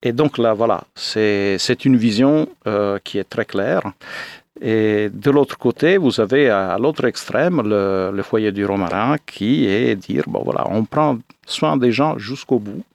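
A man's voice: on the low side, unhurried, creaky, no room sound. A 57-year-old man from Italy speaking as a pastor in a radio interview, often hesitating as he searches for words.

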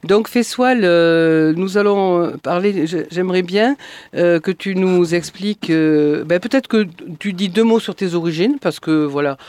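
A woman from France hosting a casual local radio interview, talking in a rambling, halting way. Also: fairly low pitch, darkish tone, dry recording. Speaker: radio host.

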